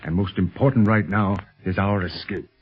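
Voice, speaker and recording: An actor playing a calm, protective secret agent mentoring a boy in a 1930s radio adventure serial. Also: deep voice, normal speed, husky, faint music behind. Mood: cautious, reasoning. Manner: calm, reassuring, authoritative. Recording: muffled 1930s radio recording.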